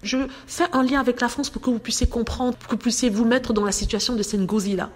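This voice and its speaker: Indignant woman vlogger, fairly high voice. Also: steady tone, quick pace. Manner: indignant, emphatic, addresses viewers directly. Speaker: vlogger commenting on news. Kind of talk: casual commentary to camera.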